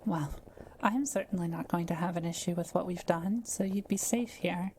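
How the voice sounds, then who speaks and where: A kindly, curious witch acted by a woman with a soft, dark-toned voice that sits a little low. She speaks in gentle, measured, politely formal phrases, as in an intimate audio roleplay, with faint ambient noise behind her. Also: natural voice. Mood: warm, thoughtful. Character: kind, curious forest witch, soft-spoken and politely wry. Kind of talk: intimate scripted audio roleplay addressed to the listener.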